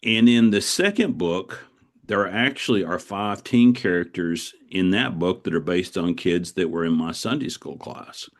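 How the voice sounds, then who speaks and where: Middle-aged male author as interview guest, low voice, conversational and reflective, with a rambling explanatory style. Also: a little slow. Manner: discursive, circling back as he explains.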